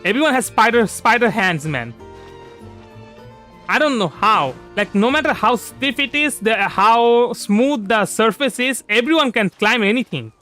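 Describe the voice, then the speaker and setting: A man with a notably high, bright voice gives casual gameplay commentary on a livestream. He rambles, circling back to repeat and restart phrases as he goes. Light music plays behind him. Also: lively intonation, unhurried. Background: soft background music.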